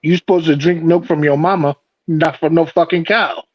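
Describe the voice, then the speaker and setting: Man in his forties, fairly high voice, joking, slangy, profane banter, dry lo-fi recording. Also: fairly even intonation, moderate pace, dry recording. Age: 40s. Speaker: panelist on an open panel show. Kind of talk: casual storytelling banter.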